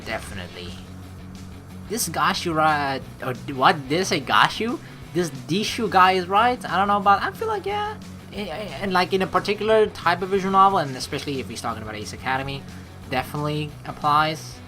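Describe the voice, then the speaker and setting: Woman, casual let's play commentary, excitable, very animated, bright tone, informal. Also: low voice, brisk, soft background music. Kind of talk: casual gameplay commentary, reading game text aloud.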